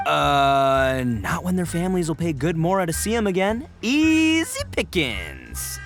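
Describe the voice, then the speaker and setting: A brash, swaggering male oni gang boss from a video game. He talks loud and stammers, laughing often, his pitch leaping all over. Game music plays underneath. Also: wildly swooping pitch, a little slow, full character voice. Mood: boastful.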